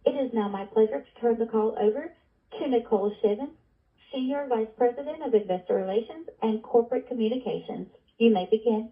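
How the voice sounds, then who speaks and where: A woman's voice: fairly high pitch, brisk. A woman conference operator reading a scripted announcement over a telephone line. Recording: tinny narrow telephone line.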